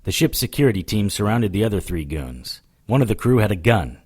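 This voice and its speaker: Hardboiled male investigator, lowish register, dry wit, deadpan first-person storytelling for audio drama. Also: a little fast, husky, heavily acted. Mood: hardboiled narrating. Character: tough wry male investigator, narrating his own brawls and adventures. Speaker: lead actor and narrator.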